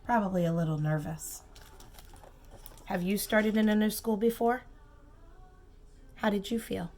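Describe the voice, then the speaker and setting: A woman reading a storybook aloud to young children, expressive and emphatic, with simple asides and questions for the listeners. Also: mid-range voice. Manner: expressive, slow emphatic phrasing, simple child-directed comments. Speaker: preschool teacher reading aloud.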